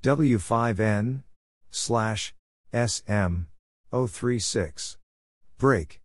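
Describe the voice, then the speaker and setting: A man narrating Morse code practice, reading scripted radio exchanges and spelling out letters and numbers deliberately. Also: lowish voice.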